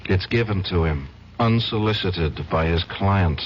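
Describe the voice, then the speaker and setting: An actor playing a troubled young defense lawyer in a radio drama, hesitant and stammering, emotionally charged. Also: male voice, low-pitched, measured pace. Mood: earnest. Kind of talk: 1970s radio drama acting. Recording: dull old radio broadcast, faint hiss.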